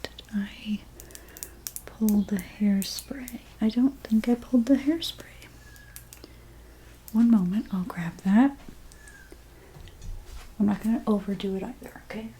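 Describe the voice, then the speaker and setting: A woman whispering in an ASMR role play as a hairstylist, gently talking through each step in a breathy, mostly unvoiced voice. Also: a little high, a little hiss or hum, poor audio. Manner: gentle, unhurried, step-by-step personal attention. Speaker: ASMR creator playing a hairstylist.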